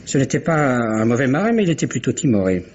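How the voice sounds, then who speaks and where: Shipowner under questioning: blustering, evasive, mid-pitched, on a dull old radio drama recording. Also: male voice, very animated, fast talker, darkish tone. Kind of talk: 1950s-style French radio mystery acting. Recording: dull vintage radio recording, faint noise.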